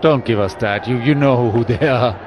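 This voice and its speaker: A man calling live cricket play-by-play in brisk, quick summaries. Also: mid-range voice, background noise. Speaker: cricket commentator. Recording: broadcast commentary with crowd and music.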